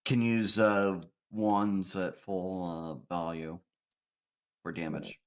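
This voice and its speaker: Brief: male game master, lowish voice, halting uh-filled narration. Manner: halting narration with frequent uhs.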